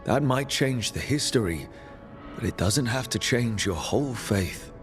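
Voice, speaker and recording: An actor playing a steady, reassuring fantasy party leader in a video game, speaking in a measured, earnest way. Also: male voice, medium pitch, soft background music, lightly acted.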